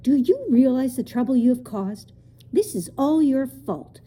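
A woman's voice: mid-range voice, big pitch swings, moderate pace, warm tone, slightly rough, full character voice. A woman reading as a stern, haughty official. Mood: annoyed. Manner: stern, clipped, exasperated. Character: tall, haughty, irritable male official of a fantasy kingdom. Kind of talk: children's storybook character reading.

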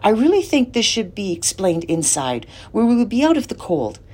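A woman voicing a small, funny, cheerful gentleman who talks in excited exclamations. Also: mid-range voice, moderate pace, pitched above the performer's own voice. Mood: delighted. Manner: exclaiming, bubbly.

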